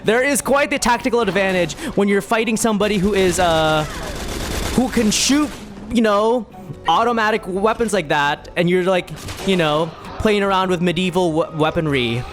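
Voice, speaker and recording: A man's voice: sits high, normal speed, music in the background. A man giving casual, playful commentary as he plays a video game, rambling and reacting as he goes. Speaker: streamer playing a video game.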